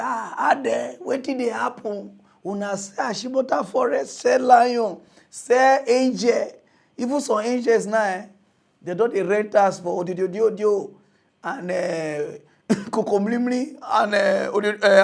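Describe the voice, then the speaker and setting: A young Nigerian man hosting a mock news comedy show, ranting in a mocking, animated way and laughing often. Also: high voice, creaky. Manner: animated, mocking, laughs often, switches to loud excited outbursts. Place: studio with green screen.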